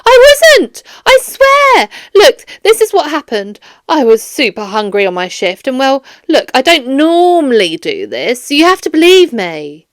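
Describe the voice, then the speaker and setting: A woman's voice: high-pitched, very animated, measured pace, hardly any pauses, dry recording, studio quality. A woman voicing a flustered, defensive male security guard in a children's audiobook, pleading and protesting emphatically. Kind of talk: children's audiobook character dialogue.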